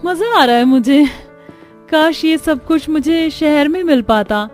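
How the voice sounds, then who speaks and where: A woman's voice: high-pitched, measured pace, a little mellow, faint music behind, pitched above the performer's own voice. A woman voicing a put-upon but plucky young city-bred daughter-in-law in a cartoon story, with dramatic, exclaiming delivery. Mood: pleased, energized. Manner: exclaiming, self-pitying, dramatic. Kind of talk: Hindi cartoon story dialogue.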